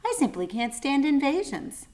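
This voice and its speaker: A woman's voice: sits high, big pitch swings, moderate pace, a little mellow, pitched above the performer's own voice. A woman voicing a suspicious, grumbling wild boar in a children's storybook. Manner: muttering in clipped, grumbling phrases.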